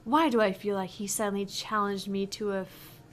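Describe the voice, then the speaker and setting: A woman with a medium-pitched voice hosts a gaming playthrough. She talks in a casual, chatty style. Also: steady tone, normal speed, a little hiss or hum. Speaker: let's play host. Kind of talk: casual chat.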